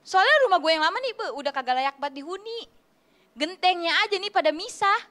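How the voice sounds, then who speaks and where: A young woman doing a stand-up comedy routine in an animated, slangy storytelling way. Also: extremely high pitch, brisk. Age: young adult. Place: TV studio stage, indoors. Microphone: handheld microphone.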